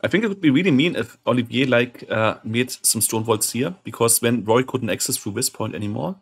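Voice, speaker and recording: Hoarse man casually commentating a game. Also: mid-range voice, lively intonation, fairly quick. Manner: thinking aloud, often clearing his throat; keeps saying "interesting" with mild curiosity. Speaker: gaming channel host commentating a match.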